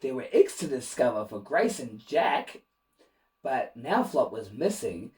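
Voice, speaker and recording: A man reading a rhyming children's picture book aloud in an expressive, animated way, with asides to young listeners. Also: mid-range voice, measured pace, distant mic. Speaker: children's storybook reader and host.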